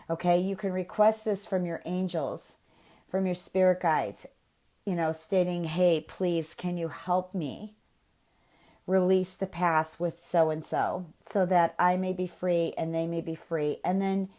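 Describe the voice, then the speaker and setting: A woman with a slightly nasal, cold-congested voice giving a gentle, spiritual tarot reading with many pauses and fillers. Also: on the low side. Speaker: tarot reader.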